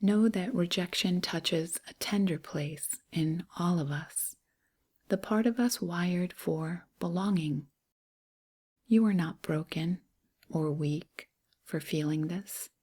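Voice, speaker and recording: A woman with a breathy, hushed, near-whispering voice gently guides a meditation in short, unhurried, soothing phrases. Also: fairly low pitch.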